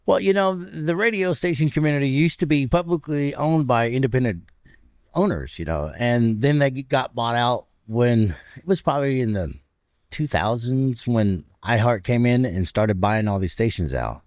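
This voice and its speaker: A man in his fifties with a deep, raspy voice, a radio personality telling stories with laughs in a casual interview. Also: normal speed, no room sound, clean studio sound. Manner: animated, laughs between stories. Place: stadium club room.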